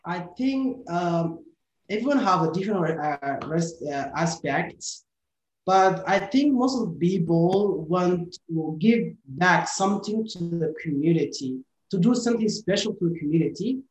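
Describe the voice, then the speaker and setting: A man's voice: high voice, lively intonation, measured pace. A young man from Somalia, a student volunteer, talking hesitantly with many fillers and restarts. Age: young adult. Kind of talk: casual podcast discussion. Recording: roomy echoey recording.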